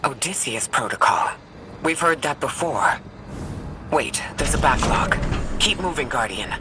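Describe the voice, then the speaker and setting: Measured, high-voiced male mission guide. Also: animated, pushed and bright, a little hiss or hum. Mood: intrigued, watchful. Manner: measured, articulate, earnest. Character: calm, analytical male mission guide and scientist, adult. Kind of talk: scripted game dialogue. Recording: music behind the voice.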